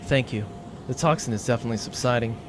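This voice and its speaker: A low, subdued, dryly sarcastic sidekick, acted by a man in a comic audio adventure. He is a weary, wordy accountant who sounds long-suffering. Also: fast talker, background noise, lightly acted. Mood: dry, sardonic. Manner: dry, wordy sarcasm, bewildered. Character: weary, sardonic male accountant sidekick in pain. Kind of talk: comic audio drama acting.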